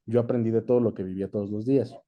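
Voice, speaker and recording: A man's voice: fairly low pitch, steady tone, rapid-fire, muffled recording. A young Mexican man chatting easily as an interview guest, reminiscing about his work in a casual way. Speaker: guest, voice actor.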